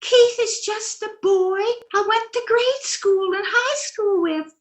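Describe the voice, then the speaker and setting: A woman's voice: extremely high pitch, slow pace. A woman playing a scatterbrained, innocently chatty wife in a re-created 1940s radio comedy. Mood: innocent chatter. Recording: roomy, echoey stage-like sound.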